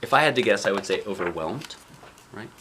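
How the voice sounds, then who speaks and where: A man's voice: on the low side, animated, brisk, pushed and bright, slight background noise. A man reading a picture book aloud as a dad would, expressively, with casual asides to children and weighty emphasis on key lines.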